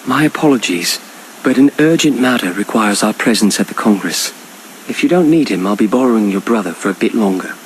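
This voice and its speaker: A man playing a composed young noble leader in a video game, speaking formally, politely and with measured phrasing. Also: on the low side, lively intonation, background noise, lightly acted. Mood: courteous, composed. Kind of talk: polished video game voice acting. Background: music.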